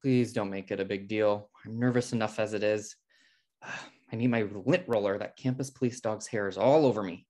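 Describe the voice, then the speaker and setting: A man's voice: on the low side, moderate pace, a little mellow, lightly acted. A man playing an uptight, anxious brother in his thirties, fussy and exasperated, at a comedy table read on a video call. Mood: anxious, annoyed. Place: home room on a video call.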